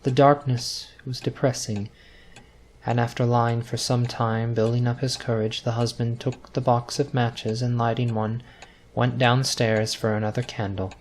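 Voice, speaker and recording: A man narrating a scripted ghost story in a dramatic, suspenseful, deliberate radio style. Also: mid-range voice, level tone. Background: music.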